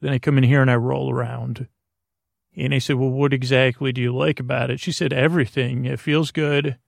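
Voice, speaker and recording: Male podcast host, mid-pitched with heavy vocal fry, rambling and self-deprecating sleep-time storytelling, halting delivery. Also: little pitch movement, no room sound, studio quality. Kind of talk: rambling, meandering bedtime monologue.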